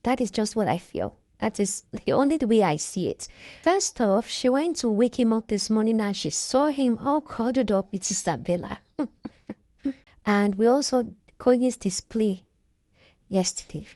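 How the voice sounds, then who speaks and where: A woman talks through reality-show drama in a relaxed, opinionated way, checking in with her viewers as she goes. Her voice is mellow and warm at a middling pitch. The recording is dry and close. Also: unhurried, dry recording. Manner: chatty, opinionated, laughs often, seeks agreement. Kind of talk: casual reaction commentary.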